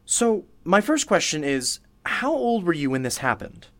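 A man's voice: high-pitched, sing-song, measured pace, a bit bright, full character voice. An actor playing an eager, inquisitive young male radio interviewer in a drama, conversational and a little hesitant in his phrasing. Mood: curious, probing.

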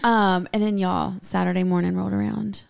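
Woman, creaky voice, close dry sound, casual podcast chat, animated storyteller. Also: mid-range voice, normal speed, dry recording. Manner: chatty, animated storytelling with quoted asides. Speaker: podcast co-host.

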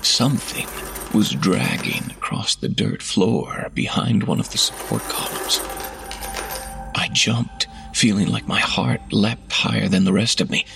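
A man reading a horror story aloud in a measured, hushed delivery that drops to whispers, with faint music behind. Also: lowish voice, animated, vocal fry. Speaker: narrator. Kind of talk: scripted horror narration.

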